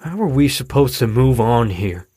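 An actor playing a troubled, frustrated middle-aged father in a naturalistic audio drama, speaking haltingly and downcast. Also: medium pitch, lively intonation, noisy background, lightly acted. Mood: weary, pleading. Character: weary, troubled, frustrated widowed father of a teenager.

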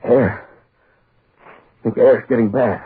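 An actor playing a cowardly, guilty newspaper publisher, blurting agitated broken phrases in 1940s radio horror style. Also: male voice, medium pitch, fairly even intonation, slow, breathy edge. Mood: suffocating panic. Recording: noisy narrow 1940s radio recording.

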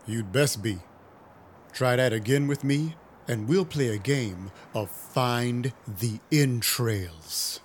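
An actor playing a cynical, violent vampire detective, narrating a noir audio drama with wry menace. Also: male voice, mid-range voice, slow, slight background noise, close to an everyday voice. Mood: threatening. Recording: music behind the voice. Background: music.

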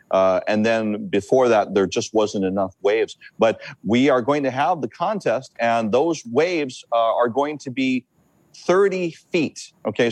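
A man from Hawaii, a meteorologist, explaining the weather and big surf in a halting, repetitive way during a news interview. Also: medium pitch, darkish tone, no echo. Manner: halting, repeats words while explaining.

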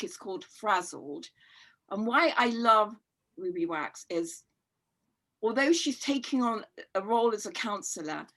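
A middle-aged woman guest on a podcast, talking in a warm, rambling and reflective way with frequent fillers and easy laughter. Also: medium pitch. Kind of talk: casual conversational chat.

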